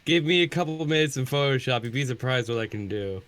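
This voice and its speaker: A man's voice: mid-range voice, expressive, quick pace, music in the background. A man guesting on a livestream, talking in a casual, conversational way. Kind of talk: casual chat.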